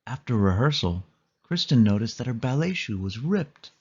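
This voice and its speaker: A man's voice: mid-range voice, very animated, normal speed, darkish tone, slightly rough, highs cut off. A man reading a scripted children's story aloud as a read-along narrator.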